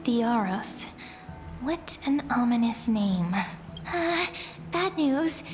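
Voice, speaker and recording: A woman's voice: on the high side, animated, drawn-out words. A woman voicing a polite, wary, thoughtful young companion in a video game, careful and earnest. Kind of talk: video game character acting. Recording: long reverb over game music.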